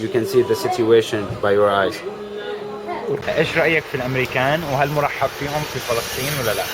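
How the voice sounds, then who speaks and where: A man with a Palestinian Arabic accent, interpreting street interviews in halting, ungrammatical English. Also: medium pitch, low-quality recording. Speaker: street interpreter translating questions and answers. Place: outdoors on a street. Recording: busy street noise, passing vehicles.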